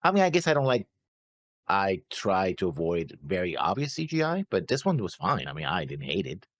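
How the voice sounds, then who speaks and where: A man with a lowish, husky voice answers in a defensive, hedging way. His pitch jumps around a lot, and the recording is dry with faint music behind him. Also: huge pitch swings, normal speed, a bit bright, no echo. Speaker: reaction show co-host. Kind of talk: casual banter reacting to anime.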